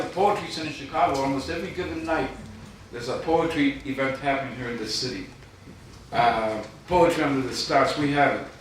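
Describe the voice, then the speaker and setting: High-voiced man reading poetry in echoey room. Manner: informal, self-deprecating, rhythmic delivery. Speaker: poet. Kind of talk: live poetry reading with casual introductions. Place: live event room in Chicago. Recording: distant mic, very echoey noisy room.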